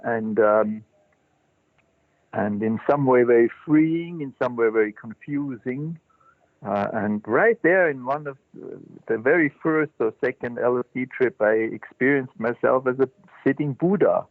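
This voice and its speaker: An older man, a Zen teacher telling his life story as an interview guest, halting and thoughtful with many restarts. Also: medium pitch, no room sound. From Switzerland. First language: German. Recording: dry, tinny phone-line sound.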